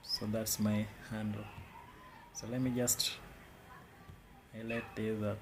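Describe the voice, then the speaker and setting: A man's voice: on the low side, little pitch movement, slow, gravelly, very noisy. A man with a Kenyan accent giving casual live commentary on his trades, often repeating words and phrases. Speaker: day trader.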